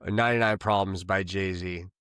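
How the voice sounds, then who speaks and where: A man co-hosting a podcast, talking loosely and profanely about songs, rambling and excitable. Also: sits low, moderate pace, clean tone, dry recording, clean studio sound. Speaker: podcast co-host. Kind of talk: casual chat. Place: lives in Los Angeles.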